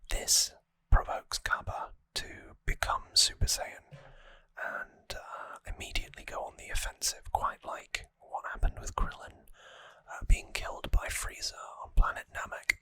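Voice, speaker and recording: Hushed ASMR whisperer, close and dry. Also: no echo. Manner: unhurried whispering with hesitant pauses between short phrases. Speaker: ASMR host. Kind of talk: whispered ASMR trivia recap. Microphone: close microphone.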